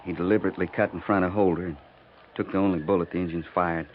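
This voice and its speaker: A man's voice: low-pitched, steady tone, quick pace, natural voice. A man acting a dutiful, earnest cavalry sergeant, humbled and respectful, with formal military address in 1950s radio drama. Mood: subdued, earnest. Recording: muffled 1950s radio recording with hiss.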